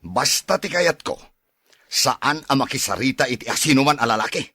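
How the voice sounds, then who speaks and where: A man playing a suspicious, jealous husband and stern father in a radio drama, with a gruff, accusing manner. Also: mid-range voice, expressive, normal speed, sharp tone, no echo, studio quality.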